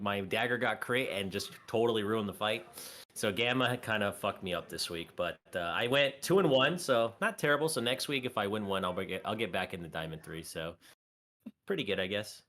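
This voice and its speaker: Bright-voiced laid-back gaming guest. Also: male voice, on the low side, moderate pace. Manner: relaxed, laughs easily, swears casually. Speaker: streamer guest. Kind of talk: casual chat.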